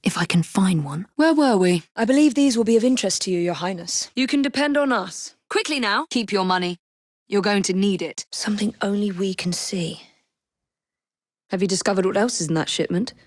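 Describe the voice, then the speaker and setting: A woman acting as a young Victorian assassin in a video game, with an English accent and crisp, formal, composed diction. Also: mid-range voice, fairly quick, dry recording, clean studio sound, close to an everyday voice. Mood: hushed, then dry, polite. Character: young female Victorian assassin, brisk, poised and determined. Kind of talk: scripted game character dialogue.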